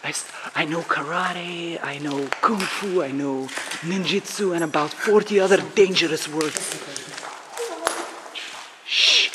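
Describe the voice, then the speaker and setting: A young man exploring an abandoned building at night, excitable and swearing, often dropping to a whisper. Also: fairly high pitch, measured pace. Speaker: paranormal explorer. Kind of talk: casual night exploration chat. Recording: noisy handheld camera audio.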